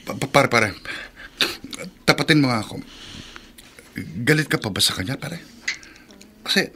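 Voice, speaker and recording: A man playing an excitable, loyal middle-aged friend in Filipino radio drama, urgent and repetitive as he speaks. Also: mid-range voice, moderate pace, natural voice. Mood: urgent, concerned. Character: excitable, loyal middle-aged male buddy bearing news. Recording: radio drama with background noise.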